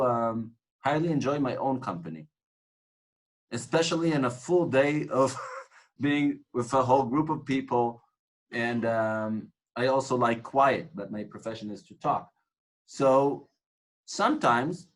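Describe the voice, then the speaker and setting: Man, Hebrew speaker, average pitch, tour guide instructor, informal lecturing, reflective, repeats phrases for emphasis. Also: rough recording.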